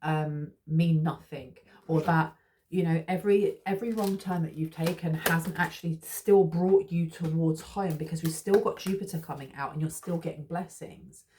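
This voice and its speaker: A woman talks through a tarot reading in a loose, rambling way, full of fillers and restarts. Her voice sits a little low and sounds mellow and relaxed. She keeps a gently reassuring tone toward her listeners. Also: moderate pace, slight background noise, low-quality recording. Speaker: tarot reader. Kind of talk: casual spiritual tarot reading.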